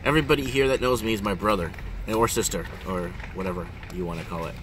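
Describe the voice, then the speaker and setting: A middle-aged man, rambling casually to viewers in an animated way and repeating his questions emphatically. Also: lowish voice, quick pace. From Ontario, Canada. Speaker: vlogger. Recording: engine and road noise in car.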